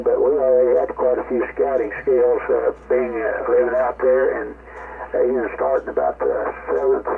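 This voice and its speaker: Gravelly older man over ham radio. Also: mid-range voice. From rural United States. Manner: folksy, rambling. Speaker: amateur radio operator. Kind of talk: casual reminiscing chat. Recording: tinny, noisy ham radio transmission.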